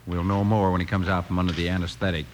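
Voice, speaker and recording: An actor playing a grave, matter-of-fact town doctor in an old-time radio western, speaking in a measured way. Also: male voice, deep voice, level tone, lightly acted. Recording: hissy old radio broadcast recording.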